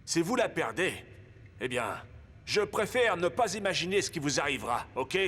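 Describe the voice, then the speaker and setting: A man's voice: fairly high pitch, sing-song, moderate pace, bright, forceful tone, heavily acted. A man voicing a stern, commanding football coach in a French cartoon.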